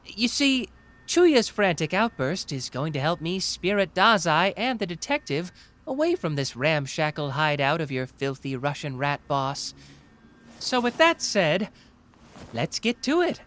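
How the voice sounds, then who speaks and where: Someone acting a smug, animated young male detective. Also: medium pitch, unhurried. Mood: cocky analytical. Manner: smug, theatrical, analytical, taunting. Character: young male genius detective, cocky, playful, taunting. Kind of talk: anime roleplay audio drama, deduction monologue.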